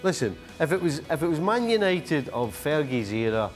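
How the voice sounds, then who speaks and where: A man's voice: fairly high pitch, very animated, soft background music. A man with a Scottish accent, a football pundit chatting and bantering on a panel show. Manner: chatty, quick to change his mind, good-humoured.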